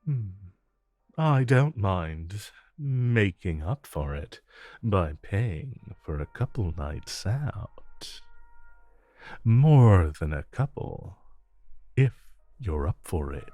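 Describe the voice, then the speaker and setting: A man playing a suave, flirtatious supervillain in a romantic audio roleplay, with a smooth, teasing drawl and deliberate pauses. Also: lowish voice, very animated, dark tone, soft background music, full character voice. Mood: flirtatious, relaxed. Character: suave, flirtatious male supervillain courting his tailor.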